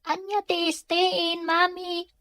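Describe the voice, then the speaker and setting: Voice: very high-pitched, little pitch movement, slow pace, pushed and bright, dry recording, studio quality, exaggerated voice. A performer with an Ilocano accent playing a small, inquisitive, clingy child in a Filipino radio drama. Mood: questioning.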